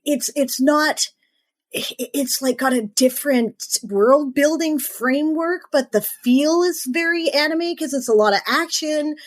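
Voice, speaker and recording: A woman's voice: sits high, measured pace. A woman co-hosting a podcast interview, chatting casually and enthusiastically, with frequent "you know" and "like.". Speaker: podcast co-host.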